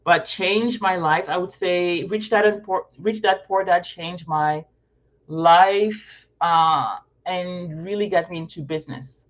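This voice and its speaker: A woman's voice: on the low side, slow pace, lo-fi sound. Chatty woman with a self-correcting ramble. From Morocco. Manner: rambling, thinks aloud, repeats and corrects herself. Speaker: channel host answering viewer questions. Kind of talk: casual live Q&A. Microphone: live-stream setup.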